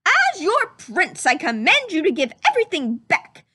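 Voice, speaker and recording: A woman's voice: very high voice, wildly swooping pitch, moderate pace, sharp tone, no room sound, clean studio sound, voice pushed up, full character voice. A woman voicing a brave, indignant young prince in a children's storybook read-aloud, declaiming his commands. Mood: commanding.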